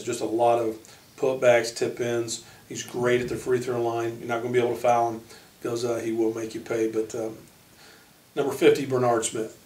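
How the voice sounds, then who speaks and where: An American man speaking as a basketball coach, informally introducing his team in halting short phrases. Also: medium pitch, a little mellow. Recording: echoey room, distant microphone.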